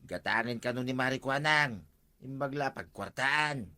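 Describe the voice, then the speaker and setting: A man's voice: mid-range voice, normal speed, sharp tone. A man playing a doubting, quarrelsome village sidekick with an Ilocano accent in a radio comedy drama. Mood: bantering.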